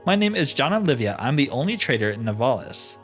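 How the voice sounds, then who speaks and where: Put-upon village trader woman, played by a man, businesslike and formal, lively pitch over faint music. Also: quick pace. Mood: businesslike, concerned.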